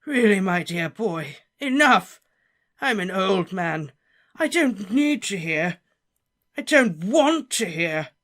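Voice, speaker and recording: A narrator voicing a tipsy, maudlin old man, a plump wizard teacher, with drawn-out, quavering, wheedling lines that sit well above his own voice. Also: mid-range voice, big pitch swings, a bit bright, voice pushed up. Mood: anxious, pleading.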